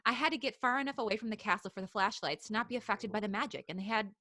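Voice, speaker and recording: A woman's voice: medium pitch, racing, bright, forceful tone, no echo, clean studio sound. A woman co-hosting a podcast, reading a story aloud in a casual, playful way and breaking off often for banter. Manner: playful, giggly, digresses from the reading. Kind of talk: casual read-aloud of fan fiction with banter.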